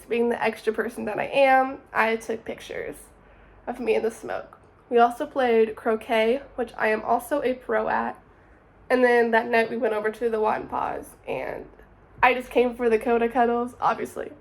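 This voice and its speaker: A woman of twenty, narrating her summer in a chatty, upbeat vlog voice-over. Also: fairly high pitch. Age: 20s. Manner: chatty, upbeat, says "super" often. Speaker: vlogger.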